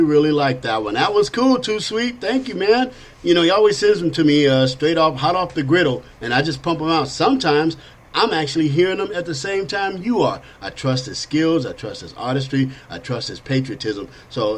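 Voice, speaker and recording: A middle-aged man hosting a casual political talk show, rambling and animated with frequent restarts. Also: a little high, a little fast. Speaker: podcast host.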